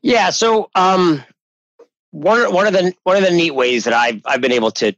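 A man's voice: sits high, normal speed, fairly bright tone, no room sound. Man, from New Hampshire, casual talk, stumbling restarts, dull lo-fi sound. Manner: rambling, many restarts and repeated words. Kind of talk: casual tech podcast chat.

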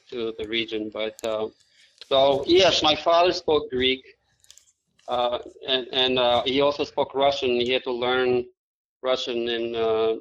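A man in his forties from Albania with an Albanian accent, answering interview questions in a measured, explanatory way with frequent fillers. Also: mid-range voice, a bit bright. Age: 40s. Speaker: IT manager at a manufacturing firm. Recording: dull lo-fi audio.

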